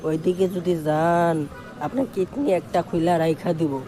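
A man's voice: a little high, moderate pace, mellow, faint music behind, close to an everyday voice. A man playing a talkative, aggrieved young village nephew in a comic cartoon, complaining dramatically with a Bangladeshi Bengali accent. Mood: alarmed, aggrieved.

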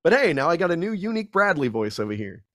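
A man's voice: a little high, very animated, quick pace, fairly bright tone, no echo. A young man, a voice actor, chatting in an interview over a video call with a studio mic, rambling and self-deprecating with many restarts. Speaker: guest voice actor. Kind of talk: casual interview chat. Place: small room at home.

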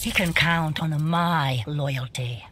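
A woman playing a cold, haughty, devoted female assassin in a fighting video game, speaking in measured lines. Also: deep voice, fairly bright tone, slight background noise. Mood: cold loyalty. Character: cold, devoted blood-mage assassin woman serving an emperor.

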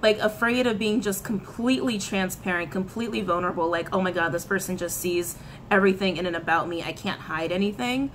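A woman's voice: mid-range voice, a little fast, slight background noise, poor audio. A woman in her thirties, giving a casual tarot reading to camera in a small room, talking with lots of gestures and repeated phrases. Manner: chatty, animated hand gestures, frequent laughs and repetitions. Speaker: tarot reader. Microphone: camera in front, head and shoulders framing.

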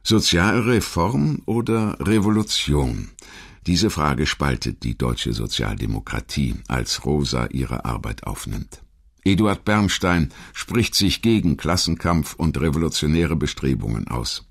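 A man reading scripted biographical narration as a documentary narrator, in a measured, articulate manner. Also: rumbling low, expressive, creaky, slightly rough.

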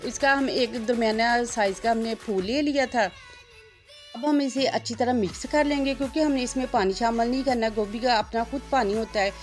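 A woman's voice: fairly high pitch, moderate pace, soft background music. A woman, hosting a cooking channel and narrating each step politely in a voice-over. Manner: step-by-step, polite, religious greetings.

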